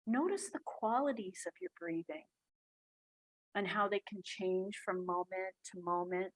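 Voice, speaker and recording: A woman guiding a breathing meditation in a soft, calm voice with long pauses. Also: mid-range voice, rough recording. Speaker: meditation guide. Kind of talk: guided meditation.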